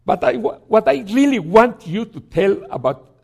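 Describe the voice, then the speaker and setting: A man giving a sermon as a guest preacher in a church, telling a story in a halting way with many restarts and some gentle humor. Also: high-pitched, sing-song, vocal fry, breathy edge.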